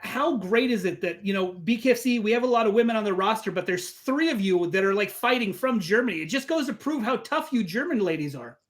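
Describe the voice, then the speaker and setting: A man's voice: sits high, lively intonation, brisk, few pauses. A man speaking as an interviewer, asking questions in a casual, conversational style.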